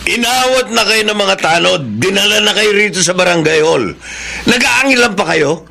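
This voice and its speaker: An actor with a Filipino accent playing a stern, exasperated village captain in a radio drama. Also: male voice, high-pitched, normal speed, vocal fry, pushed and bright, slight background noise, heavily acted. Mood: scolding. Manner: authoritative, exasperated. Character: stern village captain scolding and reconciling quarrellers.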